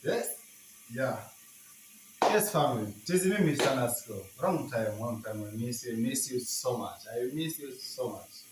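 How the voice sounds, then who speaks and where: A man with a Tanzanian accent chats casually to viewers as he demonstrates cooking, in halting, simple non-native English with short repeated phrases. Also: medium pitch, animated. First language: not English. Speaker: cooking vlogger. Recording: echoey, noisy with cooking sizzle.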